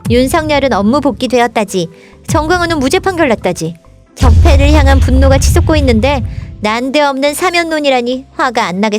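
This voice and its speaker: A woman playing a high-voiced, scolding fairy-tale princess. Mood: indignant. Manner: scolding, emphatic, rhetorical questions. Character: sharp-tongued young fairy-tale princess, indignant political explainer. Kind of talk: scripted political satire dialogue, storybook parody.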